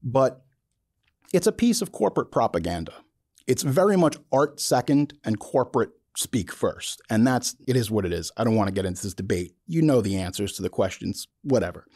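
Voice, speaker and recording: Animated, mellow-voiced man talking bluntly. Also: medium pitch, moderate pace, no echo, studio quality. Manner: emphatic, blunt, colloquial. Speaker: podcast host. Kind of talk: opinionated casual monologue about filmmaking.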